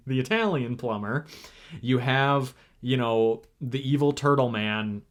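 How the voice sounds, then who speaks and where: A man's voice: medium pitch, slow. A young man co-hosting a casual podcast chat. He rambles, trips over his words and restarts sentences often. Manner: rambling, full of fillers and restarts, chatty. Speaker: podcast co-host.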